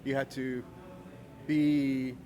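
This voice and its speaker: A man's voice: mid-range voice, very slow. Cuban-born man telling his story. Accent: American English, no Cuban accent. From Cuba. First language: Spanish. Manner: relaxed storyteller, many fillers and restarts. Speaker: guest. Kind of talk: casual interview chat.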